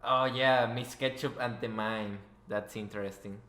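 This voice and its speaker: A man's voice: on the low side, fairly even intonation, a little slow, sharp tone. A man hosting a casual podcast interview, joking and teasing in a sarcastic way. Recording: roomy, echoey voice recording.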